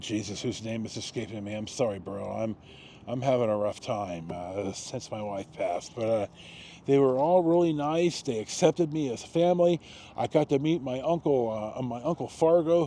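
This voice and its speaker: A man in his sixties with an American accent, telling personal stories casually, in a halting, rambling way with frequent pauses and repetitions. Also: mid-range voice, warm tone, slight background noise. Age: 60s. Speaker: storytelling vlogger.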